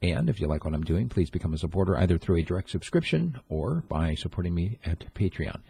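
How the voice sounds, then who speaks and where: A middle-aged man hosting a solo commentary, talking in halting bursts with frequent restarts and self-corrections. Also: sits low, very animated, vocal fry, dark tone, breathy edge. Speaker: commentary host.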